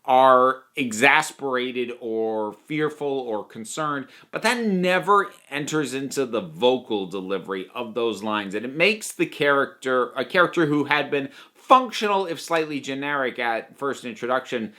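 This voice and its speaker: A man with a bright, forward voice talks through a review in a conversational way. He is emphatic and opinionated, working out his thoughts aloud as he goes. His pitch sits in an ordinary middle range for a man. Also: lively intonation, a little slow, few pauses. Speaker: reviewer of audio dramas.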